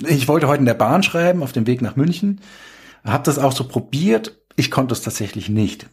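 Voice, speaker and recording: A man's voice: medium pitch, expressive, moderate pace, a little mellow, husky. A man who writes books, chatting casually as a guest. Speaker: author, podcast guest. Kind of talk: casual chat.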